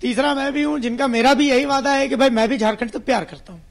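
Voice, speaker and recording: A male news reporter with an extremely high pitch for a man and a strong creak in his voice. He moderates guests live, laying out questions in a conversational, explanatory manner. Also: fast.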